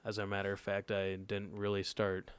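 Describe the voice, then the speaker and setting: Deep-voiced nineteen-year-old guy telling a story. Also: completely flat delivery, normal speed, clean tone, dry recording. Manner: laid-back storytelling that often checks in with the listeners. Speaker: podcast co-host. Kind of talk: casual chat, telling a personal story.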